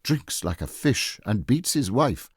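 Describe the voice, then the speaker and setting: Man reading as a cool free-spirited lady governess, edwardian, totally deadpan and decisive, storybook style. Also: medium pitch, unhurried, slightly rough, no echo, clean studio sound, voice pushed up. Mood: deadpan, scandalous.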